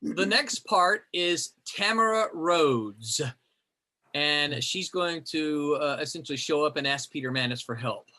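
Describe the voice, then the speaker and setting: A man with a bright, lively voice and a slightly high pitch, hosting a group call. He explains the rules in a chatty, rambling way, full of restarts. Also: a little slow. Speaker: host. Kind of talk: explaining a group reading game.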